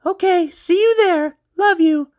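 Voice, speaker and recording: A woman's voice: very high voice, unhurried, a little mellow, dry recording, studio quality, voice pushed up. A man voicing a cheerful, affectionate young woman who is an older sister, in a storybook reading.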